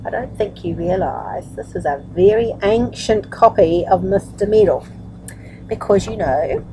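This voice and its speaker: A woman's voice: medium pitch, expressive, measured pace, mellow, a little hiss or hum, rough recording. An older woman talking to young children in a nurturing, grandmotherly way, as a storyteller reading books aloud. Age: older, grandmother's age. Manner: nurturing, grandmotherly, asks tag questions. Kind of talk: chatty address to young children, then read-aloud storytelling.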